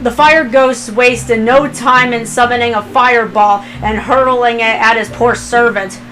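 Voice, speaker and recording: A woman narrates a written story in a fairly high voice. She reads the scripted prose aloud as storyteller, with music clearly playing underneath. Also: steady tone, unhurried, low-quality recording. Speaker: fan fiction reader, narrator.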